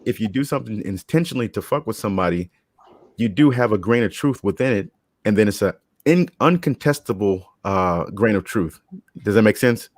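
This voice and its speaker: Laid-back man with a low voice. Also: animated, a little fast, darkish tone, no room sound. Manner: laid-back storyteller, laughs often. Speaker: podcast co-host. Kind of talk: casual chat.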